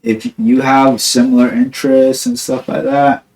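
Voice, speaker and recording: A man's voice: mid-range voice, level tone, unhurried, darkish tone, rough recording. A young man from New Jersey hosting a podcast, talking in a rambling, laid-back way with lots of "like" and "dude". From New Jersey, USA. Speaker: podcast host. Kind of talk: casual chat.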